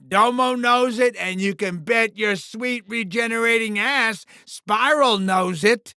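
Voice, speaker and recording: A man voicing a scheming, egomaniacal alien TV producer villain in a video game, with a bombastic manner and false flattery that slides into menace. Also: high-pitched, smooth, dry recording, clean studio sound, exaggerated voice. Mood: commanding showman. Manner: bombastic, fake-friendly flattery sliding into menace. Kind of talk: theatrical video game voice acting.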